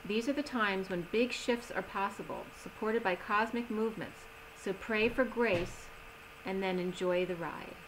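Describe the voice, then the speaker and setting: A woman giving a tarot reading in a slow, soothing manner, slightly strained as she is losing her voice. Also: mid-range voice, noisy background. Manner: slow, soothing reading of oracle cards with gentle pauses. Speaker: tarot reader. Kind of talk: solo tarot reading video.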